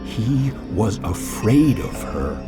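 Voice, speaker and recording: A man's voice: fairly low pitch, very animated, slow pace. A man narrating a classic horror story in a dramatic, suspenseful, theatrical way. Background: music.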